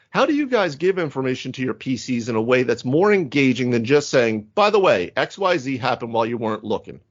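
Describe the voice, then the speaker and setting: Man putting on a grandiose, theatrical lurker from the dark. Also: mid-range voice, highs cut off. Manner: grandiose, drawn-out dramatic delivery. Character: theatrical, florid lurker from dark remote depths. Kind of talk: dramatic reading of a listener letter.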